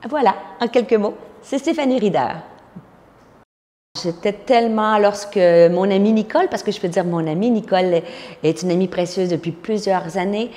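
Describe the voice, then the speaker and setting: A woman's voice: mid-range voice, normal speed, dark tone, in a large hall. A woman from Quebec in her fifties with a Québécois French accent, a pastor telling her testimony earnestly, with frequent fillers. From Quebec, Canada. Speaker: pastor and speaker. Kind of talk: personal testimony.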